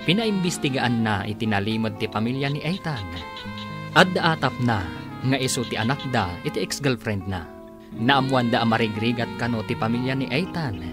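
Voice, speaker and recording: A man narrates a radio drama in an Ilocano accent. His delivery is scripted and theatrical, mid-pitched with very animated intonation, and clear music plays behind him.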